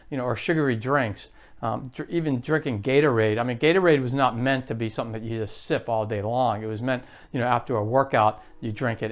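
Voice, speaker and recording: Chatty man in his late fifties. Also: mid-range voice. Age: 50s. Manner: chatty, rambling, frequent fillers and restarts. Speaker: health vlogger. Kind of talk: casual to-camera vlog.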